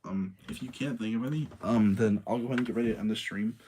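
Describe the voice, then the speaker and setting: A man hosting a livestream, talking casually in an informal, rambling, slangy way with a husky voice. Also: lowish voice, fast talker, darkish tone, a little hiss or hum. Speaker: livestream host. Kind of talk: casual livestream chat and commentary.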